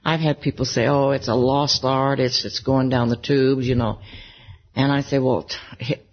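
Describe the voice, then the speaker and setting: Older woman with a low, husky voice. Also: fairly even intonation, normal speed. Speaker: interview guest, gospel singer. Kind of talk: casual interview conversation. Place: music convention. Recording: slightly dull lo-fi recording.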